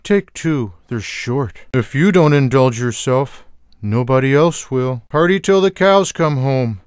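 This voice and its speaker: Casting: male actor for smug, sarcastic fat cat in a cartoon game; mid-pitched, deadpan, slow delivery. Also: clean tone, no echo, clean studio sound, lightly acted. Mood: exclaiming. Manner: deadpan, wry one-liners. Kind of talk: video game character voice clips.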